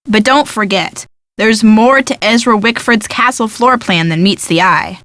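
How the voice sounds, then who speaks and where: A woman's voice: mid-range voice, measured pace, a bit bright, no echo, clean studio sound, natural voice. An actress playing a practical, sceptical young woman in a video game, with a sarcastic, teasing manner. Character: sardonic, practical young tomboyish woman, sleuth's sceptical friend. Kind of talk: scripted video game dialogue.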